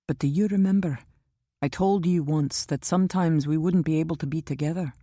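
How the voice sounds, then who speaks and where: A steady, protective man, voiced by a woman narrator who sits low and mellow in tone. His speech is measured and reassuring and turns grimly insistent when pressed, and the recording is dry and close. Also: no echo. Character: calm, protective older man, steady and wry.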